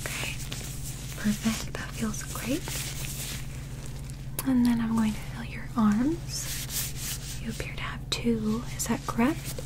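Whispering woman as a calm alien examiner. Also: fairly high pitch, poor audio. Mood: calm, clinical. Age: young adult. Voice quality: soft, breathy whisper. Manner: gentle, slow, methodical, clinical. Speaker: ASMR performer. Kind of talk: whispered ASMR medical roleplay.

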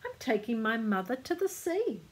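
A woman's voice: a little high, big pitch swings, moderate pace, pitched above the performer's own voice. Lady doing a little man from a kids book, plucky, polite, does as he's told. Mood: dutiful. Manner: polite, smart replies. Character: plucky, dutiful little man, later cabin boy.

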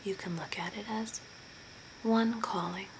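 A woman whispering softly and breathily in a soothing, deliberate ASMR role play, close to the mic. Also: mid-range voice, fairly even intonation, rough recording. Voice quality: breathy, mostly unvoiced whisper. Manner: soft, deliberate, soothing with short phrases. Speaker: ASMR performer playing a zombie makeup artist. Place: small curtained room at home. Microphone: close to the mic at a desk. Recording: clear background noise, room ambience.

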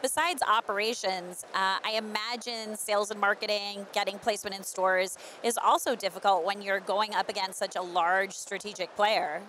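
A woman's voice: medium pitch, steady tone, normal speed, few pauses, a bit bright. A woman in her thirties interviewing on camera with a handheld microphone, in a conversational presenter's manner. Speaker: editor and interviewer. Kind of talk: on-camera trade show interview. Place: busy trade show booth indoors. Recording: faint convention hall background noise.

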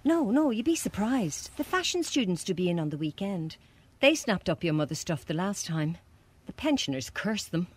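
An actress playing a friendly, teasing charity shop worker in a radio play, chatty and playful, with an Irish accent. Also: female voice, mid-range voice, sing-song, moderate pace, breathy edge. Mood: chatty, admiring. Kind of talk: radio play acting, naturalistic dialogue.

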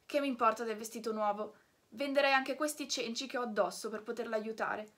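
A woman voicing an eager, warm-hearted wooden puppet boy, breathy and full of tumbling questions. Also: a little high, steady tone, a little fast. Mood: determined. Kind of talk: storybook reading.

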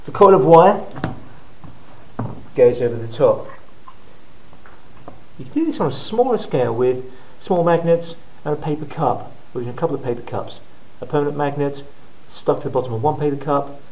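A man's voice: on the high side, lively intonation, normal speed, darkish tone. Middle-aged man demonstrating, noisy recording. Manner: plain step-by-step explaining in short phrases. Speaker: physics teacher presenting a how-to video. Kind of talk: hands-on demonstration tutorial. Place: indoor school science classroom. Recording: clearly noisy room, handling clicks.

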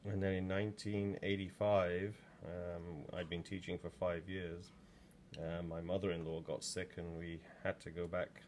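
Low-voiced rambling man in his sixties. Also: little pitch movement, a little slow, a little hiss or hum. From England. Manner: rambling, chatty asides with many ums. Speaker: potter. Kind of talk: casual demo talk while working. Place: pottery studio indoors. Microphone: camera over his shoulder.

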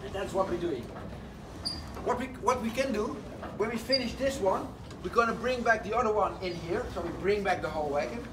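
A man, telling a tour group a lively story inside a large wooden sawmill. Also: high-pitched, a little fast. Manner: lively storytelling, slightly broken English phrasing. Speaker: windmill guide. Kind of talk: guided tour talk. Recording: distant voice with noisy background.

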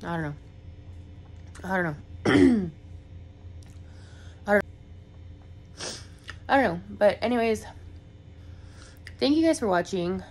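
A woman's voice: medium pitch, lively intonation, takes it slowly, pauses often, a little mellow. A young woman, congested from a cold, rambling casually in a vlog with lots of fillers. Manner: rambling, filler-heavy, chatty. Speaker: vlogger.

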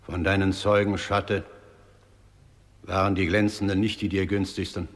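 An actor playing a grave judge of the dead in a radio drama, speaking formally and declaiming. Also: male voice, low voice, level tone, normal speed, natural voice. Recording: long reverberant hall.

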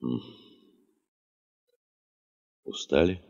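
An actor playing a brooding, weary but driven veteran gold geologist in a radio play, gravelly and slow, pausing as he reflects. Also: warm tone. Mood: pensive. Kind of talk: 1970s Soviet radio play acting. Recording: dull 1975 radio play recording.

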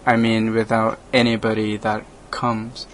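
A man from Laos, a native Lao speaker, talks slowly in an even, flat tone. He restarts his sentences often and laughs now and then. A faint hiss sits under the recording. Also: on the low side. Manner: halting, hesitant, frequent restarts, laughs often. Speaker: radio program host, monk. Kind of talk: casual conversation in English.

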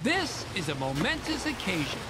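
An actor voicing a gracious, formal official at a ceremony in an animated game. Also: mid-range voice, normal speed, very noisy, natural voice. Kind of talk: animated film game dialogue. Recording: loud music under the voice.

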